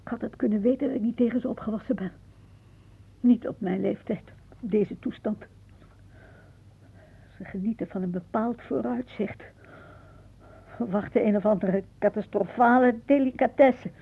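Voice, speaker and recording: Husky, grumbling older aunt. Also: female voice, a little high, moderate pace, long pauses. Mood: fretful, resigned. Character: fretful, suspicious older aunt, grumbling and sharp-tongued. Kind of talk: radio play acting. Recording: muffled phone-like old radio recording.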